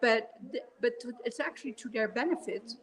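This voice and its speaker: Dutch-accented woman guest, middle-aged, fairly high pitch, hesitant chat. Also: low-quality recording. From Netherlands. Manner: halting, with many fillers and restarts. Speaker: guest quilter and artist. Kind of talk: casual interview chat.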